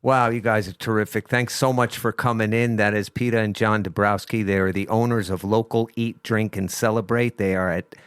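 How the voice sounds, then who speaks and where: A man's voice: fairly low pitch, steady tone, fairly quick, few pauses, clear, resonant tone, no room sound, studio quality. A man in his sixties with a New Jersey accent, hosting a casual, chatty interview. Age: 60s. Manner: easygoing, chatty, lots of yeahs. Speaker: host.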